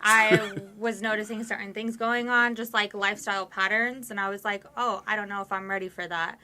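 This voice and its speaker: A woman's voice: mid-range voice, fairly even intonation, brisk, crowd noise. A woman in her twenties, a podcast guest, talking candidly and at length with many fillers. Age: 20s. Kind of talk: casual conversation.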